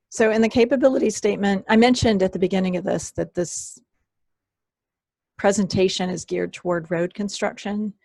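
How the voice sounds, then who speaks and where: A woman presenting an online workshop, hoarse and losing her voice, often clearing her throat and apologizing. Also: fairly low pitch, a little mellow, no echo. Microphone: computer mic on a video call.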